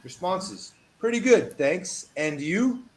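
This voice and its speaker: A man teaching a one-on-one English lesson over a video call, patient and encouraging, reading model phrases with lively emphasis. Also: sits high, slow pace, slight background noise. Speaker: English tutor.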